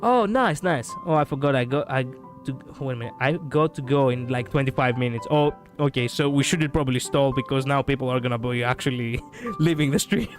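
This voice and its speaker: A man with a raspy voice streaming a game, chatting casually in a rambling way, laughing often and swearing. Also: mid-range voice, fairly quick, faint music behind. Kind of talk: casual gaming stream chat.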